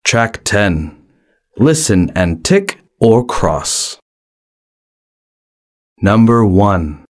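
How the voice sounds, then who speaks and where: Very slow, lively-voiced male announcer. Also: on the low side. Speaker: track announcer. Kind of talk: reading instructions.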